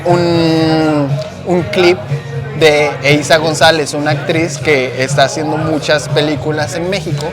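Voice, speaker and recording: A man with a Mexican accent hosting a casual conversation. He talks in an animated, slangy way and searches for words with many fillers. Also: on the high side, a little slow, music in the background, crowd noise.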